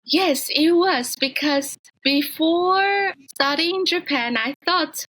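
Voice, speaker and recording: A woman from Taiwan, a guest in a casual interview, talking in an earnest, reflective way and sometimes pausing to find her words. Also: sits high, a bit bright, dry recording.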